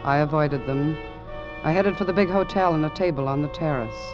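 An actor playing the wry narrator of an old-time radio suspense drama. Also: male voice, on the high side, fairly quick, natural voice. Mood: dry, descriptive. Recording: muffled old radio recording with music.

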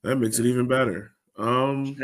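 A man's voice: medium pitch, fairly even intonation, moderate pace. A man hosting a soccer fan podcast, giving a blunt, frustrated and casual recap. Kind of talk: casual sports recap discussion.

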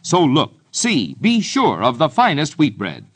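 A man's voice: fairly high pitch, huge pitch swings, a little slow, a bit bright, husky. A man announcing a scripted vintage radio commercial with emphatic sales patter. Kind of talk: 1950s radio advertisement, scripted.

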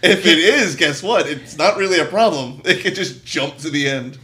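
A man co-hosting a relaxed podcast conversation. His voice is bright and a bit higher than most men's. He talks in a wry, teasing way. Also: moderate pace, poor audio. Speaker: podcast co-host. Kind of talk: casual chat.

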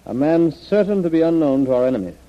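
An actor playing a grave, anxious government official with a formal, deliberate delivery in a 1930s radio drama. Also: male voice, on the high side, expressive, close to an everyday voice. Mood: grave, urgent. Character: solemn, anxious government official planning dangerous secret mission. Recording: muffled, dull old radio recording.

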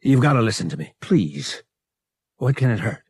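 A man's voice: mid-range voice, sing-song, normal speed, gravelly, slightly rough, dry recording, clean studio sound, full character voice. A man voicing a cornered young male pilot in an audiobook, pleading in anxious, breathless appeals. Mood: urgent pleading. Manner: gasping, babbling appeals. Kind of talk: audiobook character voice.

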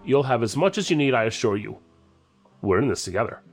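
An actor playing a self-assured, guarded counterterrorism agent in an audio drama, formal and deliberate with dramatic pauses. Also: male voice, medium pitch, expressive, a little hiss or hum, close to an everyday voice. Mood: guarded, official.

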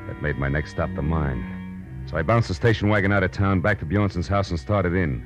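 An actor playing a tough, wisecracking American private detective in a 1940s radio noir drama, with clipped, sardonic delivery. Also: male voice, sits low, moderate pace, over music, natural voice. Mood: dry, wry. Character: hard-boiled, wisecracking male private detective, world-weary and tough. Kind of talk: 1940s radio noir acting and first-person narration.

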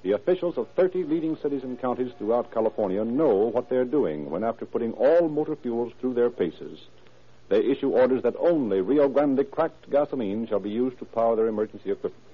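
A man's voice: mid-range voice, animated, brisk. A man working as a radio announcer and narrator, delivering scripted copy in a formal, emphatic 1930s style. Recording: tinny, rough old radio recording.

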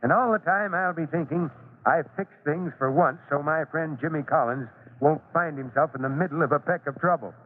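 A man acting a kindly, fatherly middle-aged fire chief in an old-time radio drama, with a folksy, gently hesitant delivery. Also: a little high, normal speed, natural voice. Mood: genial, easygoing. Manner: avuncular, folksy, with small hesitations. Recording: tinny, noisy old radio recording.

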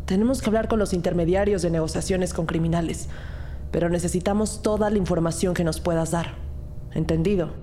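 A woman's voice: on the low side, fairly even intonation, fairly quick, warm tone, slight background noise, natural voice. An actress playing an earnest rookie detective who narrates her case in a scripted audio drama. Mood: serious, intent. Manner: serious, storytelling.

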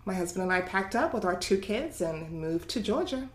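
A woman raised in London, now in Georgia, with an accent that mixes London and New York, chatting as a guest and agreeing warmly. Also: medium pitch, animated, moderate pace, echoey room. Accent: mix of London English and New York. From London, England. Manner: agreeable, repeats affirmations. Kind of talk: casual church interview chat.

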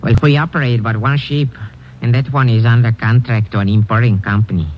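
An actor playing a lazy, evasive South American shipping clerk with a Spanish accent, deadpan and unhurried, in an old-time radio drama. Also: male voice, medium pitch, natural voice. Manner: unhurried, deadpan, politely unhelpful. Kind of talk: 1940s-50s radio drama acting. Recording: muffled old radio recording with noise.

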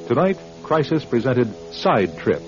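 A man hosting and narrating a scripted radio drama with a measured, dramatic announcer delivery. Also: mid-range voice, big pitch swings. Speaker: host and narrator. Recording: dull radio recording, music behind.

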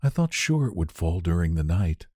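A man voicing a sick young woman who speaks quietly and wearily. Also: low-pitched, very animated, normal speed, breathy edge, no room sound, studio quality, heavily acted. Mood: faint. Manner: quiet, tired, near whisper.

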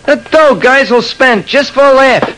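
High, skeptical store clerk. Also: male voice, a little slow, noisy background. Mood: incredulous. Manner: incredulous, wisecracking. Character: flippant, skeptical male radio parts store clerk. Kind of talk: old-time radio character acting. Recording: vintage radio broadcast sound.

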